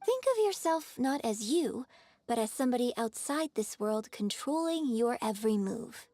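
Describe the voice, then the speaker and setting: Gracious higher-voiced opera performer and teacher. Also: female voice, expressive, normal speed, dry recording. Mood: patient, guiding. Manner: polished, gentle, encouraging, articulate. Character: gracious female opera performer patiently coaching a novice. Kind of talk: scripted video game voice acting.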